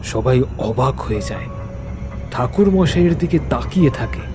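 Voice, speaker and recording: A man's voice: medium pitch, lively intonation, normal speed. A man narrating a cartoon story in a scripted, dramatic storytelling style. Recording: prominent music under the voice.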